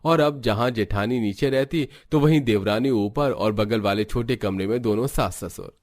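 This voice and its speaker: A man's voice: mid-range voice, normal speed, darkish tone, dry recording. A man working as narrator, reading a scripted Hindi cartoon family story.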